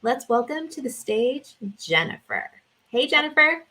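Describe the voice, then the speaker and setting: Upbeat woman host, husky voice. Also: high voice, very animated, a little slow, pushed and bright. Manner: upbeat, reads guest bio. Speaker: podcast host. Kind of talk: podcast host introduction.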